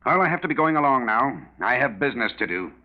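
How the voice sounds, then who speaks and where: A man's voice: mid-range voice, big pitch swings, fast talker, lightly acted. Guy doing a cold stiff creditor in an old 40s radio play, very inflected, dull lo-fi sound. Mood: cold, dismissive. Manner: curt, cool, formal. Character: cold, unyielding male creditor, curt and superior. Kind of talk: 1940s radio drama acting. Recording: dull lo-fi 1940s radio recording.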